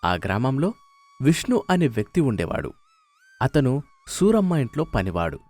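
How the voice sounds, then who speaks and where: A man's voice: medium pitch, big pitch swings, moderate pace, a little mellow, no echo, faint music behind. A man narrating a scripted moral story as a storyteller.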